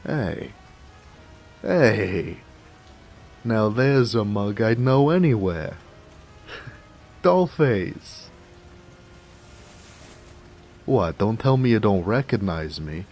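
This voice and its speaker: An actor playing a jovial, affectionate big 1920s mobster with an American accent, in a folksy, teasing noir audio drama. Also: male voice, fairly low pitch, lively intonation, takes it slowly, long pauses, darkish tone, faint music behind. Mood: delighted, exclaiming. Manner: folksy, teasing, chatty, chuckling. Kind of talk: noir romance audio roleplay, 1920s gangster slang. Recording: faint rain ambience behind voice. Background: rain.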